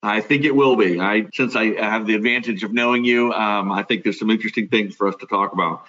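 A man's voice: lowish voice, a little fast, pushed and bright, muffled recording. A man hosting a podcast, talking in a chatty, conversational way with fillers. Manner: chatty, friendly introductions with fillers. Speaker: podcast host.

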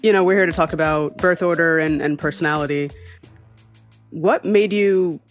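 A man's voice: on the high side, steady tone, moderate pace, dull audio, faint music behind. A man co-hosting a podcast, chatting casually with lots of like and restarts. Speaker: podcast co-host.

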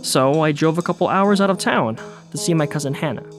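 A man's voice: a little high, lively intonation, brisk, soft background music. A man narrating his own documentary story in a wry, self-deprecating way. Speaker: host and narrator.

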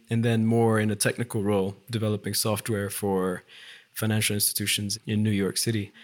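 Male guest in his forties from Ethiopia, a CEO with a mid-range voice and a relaxed, reflective storytelling manner. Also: medium pitch. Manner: relaxed, reflective, with occasional fillers. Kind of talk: conversational storytelling.

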